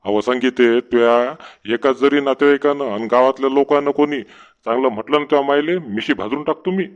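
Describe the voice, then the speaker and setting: A man's voice: medium pitch, brisk, lo-fi sound, close to an everyday voice. A man voicing a henpecked, sarcastic village husband in a comedy cartoon, complaining in rural Marathi of Vidarbha. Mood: grumbling, exasperated.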